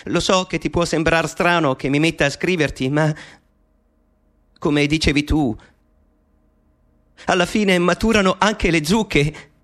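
A man's voice: on the high side, unhurried, long pauses, a bit bright. An actor playing a young mathematician in a radio drama, writing to his mother in an intimate, playful, nervously eccentric monologue. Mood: wistful. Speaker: actor playing Alan Turing. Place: studio.

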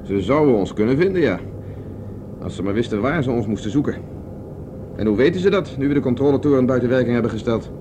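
A man plays an impatient, self-assured space pilot in a radio play. He talks very quickly at a middling pitch, explaining and giving orders briskly. The old recording is clearly noisy, with a hum like a craft. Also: lively intonation, lightly acted. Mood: calm, reassuring. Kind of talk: Dutch radio drama acting.

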